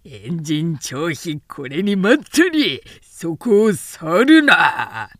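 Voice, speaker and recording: A woman voicing a fierce male warrior in an audiobook, bellowing a drawn-out challenge. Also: medium pitch, sing-song, a bit bright, dry recording, clean studio sound, full character voice. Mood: fierce, challenging.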